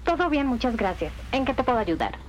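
A friendly child protection officer played by a woman takes a work call in a fairly high voice, eager to help. Faint noise sits behind this audio drama. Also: big pitch swings, brisk, lo-fi sound. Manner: friendly, obliging.

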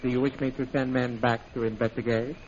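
A male actor as a deferential henchman from a 1930s radio adventure. He speaks formally and obediently at a middle pitch. The recording is muffled with a faint hiss. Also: flat delivery, darkish tone, natural voice. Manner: servile, formal, measured reporting. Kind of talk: 1930s radio serial acting.

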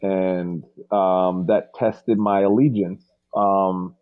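Low-voiced man over a tinny line. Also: extremely slow. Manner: relaxed, friendly storytelling with fillers. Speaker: podcast host. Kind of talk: casual chat.

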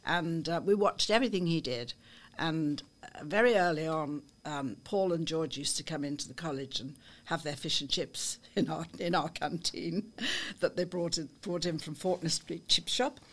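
An older woman with a Liverpool accent, a podcast guest recalling her youth in a chatty, storytelling way with frequent pauses and ums. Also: sits low. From Liverpool, England. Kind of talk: reminiscing interview. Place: small office studio.

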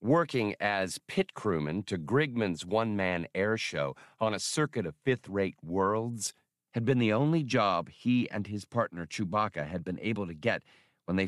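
A man narrating an audiobook in a measured, steady storytelling manner. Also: fairly low pitch, no echo, studio quality.

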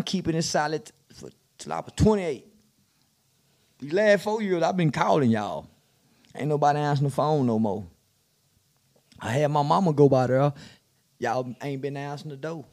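A man's voice: fairly high pitch, expressive, normal speed, pauses often, a little mellow. A man voicing a loyal but fed-up young jailed gang member, pleading and aggrieved in street slang. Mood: weary.

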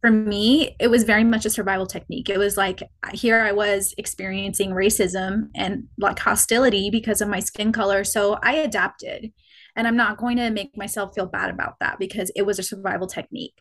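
A young woman, a podcast guest, sharing personal experiences in a reflective, halting way with many restarts. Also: mid-range voice, fairly even intonation. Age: young adult. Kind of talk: personal storytelling in conversation.

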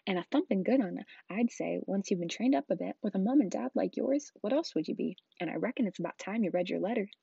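A woman voicing a huge, gruff, hot-tempered but kindly giant man from a storybook, with rustic speech and a booming growl when angered. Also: expressive, fast, few pauses, dark tone, full character voice. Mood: warm, proud. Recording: roomy, dull lo-fi home recording.

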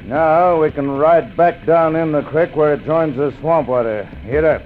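Man doing a scheming outlaw boss, Western drawl, tinny thin sound, clear hiss. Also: a little high, a little slow, lightly acted. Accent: American Western. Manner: scheming, bossy. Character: cunning outlaw gang leader. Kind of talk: 1940s radio acting. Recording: tinny, hissy old radio recording.

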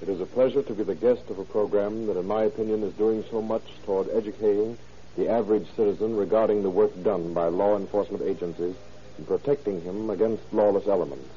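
Very dark-voiced, low, formal man. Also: fairly even intonation, fast talker. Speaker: police chief, guest speaker. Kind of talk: formal scripted address. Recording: muffled old 1930s radio recording.

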